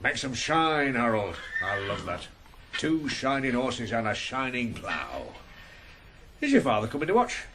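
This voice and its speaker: A man's voice: medium pitch, huge pitch swings, a little slow, a bit bright. A man acting a shrewd, hearty older farmer in a radio drama. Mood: gruff, friendly. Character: blustery older farmer, the ploughboy's shrewd boss. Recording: noisy old radio broadcast.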